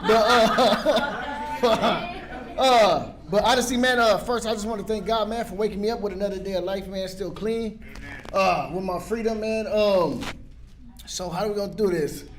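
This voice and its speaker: A man's voice: high voice, a little slow, crowd noise. A young man from San Pedro, California, telling his recovery story at a meeting in a casual, rambling way with many restarts.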